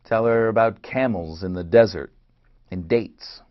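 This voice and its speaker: A man's voice: fairly low pitch, unhurried, close to an everyday voice. An actor in a play reading, playing a firm, wary man in an Israeli family, on a dull recording.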